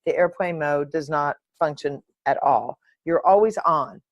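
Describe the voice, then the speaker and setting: A woman hosting her own podcast, talking in a playful, animated and uninhibited way, close to the mic. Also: deep voice, a little slow, dry recording, lo-fi sound. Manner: playful, animated, sings and makes silly sounds; uninhibited, swears. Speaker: podcast host. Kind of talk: solo podcast chat.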